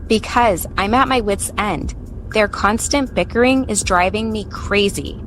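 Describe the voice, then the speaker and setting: A woman's voice: medium pitch, a little slow, a bit bright, soft background music, natural voice, poor audio. Actress as female second-in-command: dutiful, exasperated, middle register, audio drama. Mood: exasperated, deferential. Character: exasperated, deferential female lieutenant.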